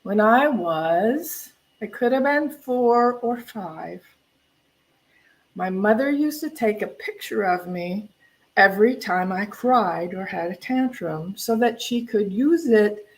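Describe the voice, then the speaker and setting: An older woman talks in a medium-pitched voice. She shares personal reflections as part of a spiritual talk, candid and conversational, with emphasis on the words that matter. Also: lively intonation, slow pace, poor audio. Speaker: minister.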